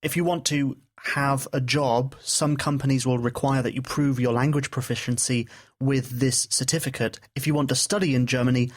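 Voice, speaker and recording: A man delivering a scripted, informative explanation. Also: medium pitch.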